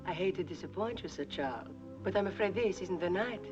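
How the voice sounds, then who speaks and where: An actress playing a poised, reserved young princess with formal, refined diction, in 1960s film comedy style. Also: female voice, lowish voice, expressive, normal speed, natural voice. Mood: coolly polite. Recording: muffled old film soundtrack with music.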